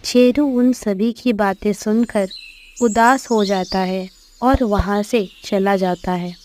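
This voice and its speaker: Slow-paced woman narrating a children's story. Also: medium pitch, steady tone, darkish tone, a little hiss or hum.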